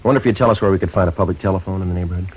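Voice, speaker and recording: An American man playing a terse, businesslike police sergeant in 1950s radio drama style, deadpan, laconic and polite. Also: low-pitched, very fast, breathy edge. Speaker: lead detective and narrator. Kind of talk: 1950s radio drama acting, clipped narration. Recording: muffled old radio broadcast, music behind.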